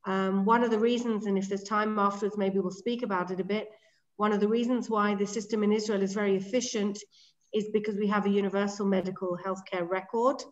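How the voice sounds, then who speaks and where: A middle-aged woman with an English accent presents a lecture online, speaking in a clear, organised way with frequent ums. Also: mid-range voice, fairly even intonation, a little fast, muffled recording. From England. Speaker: medical director giving a webinar lecture. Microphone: video call.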